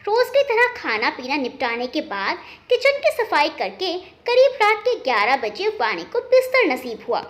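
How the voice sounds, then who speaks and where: A woman narrates a family story from a script. Her voice is high and bright, and she reads expressively with very lively intonation. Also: moderate pace, hardly any pauses.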